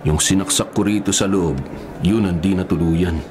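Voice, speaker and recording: A man's voice: sits low, steady tone, normal speed, background noise, natural voice. A man playing a big, violent, boastful convict in a Filipino radio drama, unbothered by threats. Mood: cocky. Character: big, muscular, cocky violent male convict. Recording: clear crowd murmur behind.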